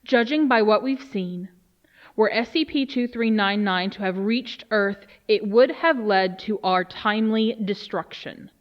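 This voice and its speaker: A woman acting as a grave, resolute research official in an audio drama. She speaks at a middling pitch with solemn, deliberate weight. The recording is a little muffled. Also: close to an everyday voice. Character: grave female research official briefing on a doomsday alien threat. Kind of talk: dramatic scripted monologue.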